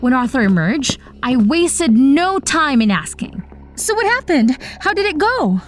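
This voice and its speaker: A woman voicing an earnest, optimistic young heroine who narrates her own animated story in an expressive, dramatic way. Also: high voice, measured pace. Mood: eager, pleading. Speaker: narrator and lead character. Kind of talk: animated first-person story narration with acted dialogue. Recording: voice over background music. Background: music.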